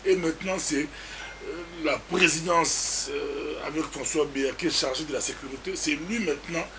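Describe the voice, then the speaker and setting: A male interviewer lays out events and asks his guest questions, pausing on fillers along the way. His voice sits slightly high for a man. A faint hiss lies under the voice. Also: pushed and bright, rough recording. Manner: recounting events, hesitant fillers. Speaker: interviewer host.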